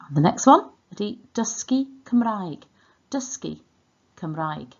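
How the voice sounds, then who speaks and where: A woman's voice: medium pitch, expressive, a little slow, a little mellow, muffled recording. A woman with a Welsh accent teaching an online language lesson, enunciating and repeating words in an instructional way.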